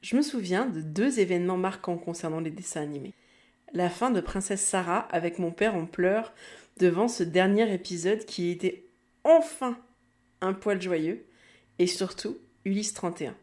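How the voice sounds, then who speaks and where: A woman's voice: lowish voice, normal speed, a little mellow. A woman in her thirties with an accent from France, hosting a nostalgic podcast monologue in a wry, confiding, conversational way. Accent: French from France. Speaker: podcast host.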